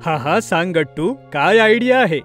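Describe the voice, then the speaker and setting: A man's voice: high voice, animated, normal speed, a bit bright. An affectionate cartoon father, friendly, agreeable and a little nervous. He chats to his children with music in the background. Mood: pleased, affectionate. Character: friendly, mildly nervous, obliging father of two.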